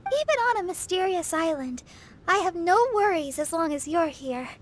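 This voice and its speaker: A woman voicing a sweet, happy-go-lucky, dreamy teenage girl in an animated video game. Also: very high-pitched, moderate pace. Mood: bubbly. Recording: music behind.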